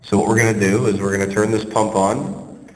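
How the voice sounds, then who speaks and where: A man working as an HVAC technician, casually walking through a hands-on test with a relaxed, plain-spoken manner and frequent ums. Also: fairly low pitch, brisk. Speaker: HVAC technician and channel host. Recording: reverberant space with faint noise.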